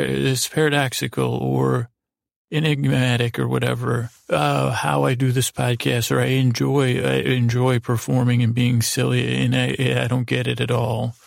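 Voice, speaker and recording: A man hosting a bedtime podcast in a meandering, halting monologue, repeating himself and pausing as he goes. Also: medium pitch, gravelly, no room sound, studio quality. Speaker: podcast host. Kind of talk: rambling bedtime podcast monologue, meant to lull.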